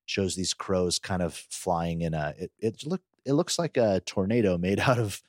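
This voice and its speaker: A man's voice: deep voice, normal speed, dry recording, clean studio sound. A man co-hosting a podcast, chatting casually and recounting things in an informal, loosely rambling way. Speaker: podcast co-host. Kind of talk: casual chat, personal recollection.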